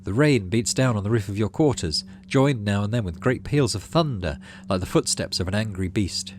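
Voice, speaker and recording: A man's voice: on the low side, expressive, normal speed, slight background noise. A man hosting a gaming playthrough, reading story text aloud and adding casual commentary. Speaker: gaming channel host.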